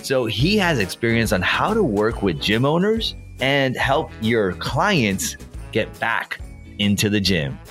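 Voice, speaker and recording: A man's voice: medium pitch, sing-song, takes it slowly, fairly bright tone, soft background music. A man born in Colombia hosting a casual podcast interview, enthusiastic and a bit rambling, repeating his praise. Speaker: podcast host.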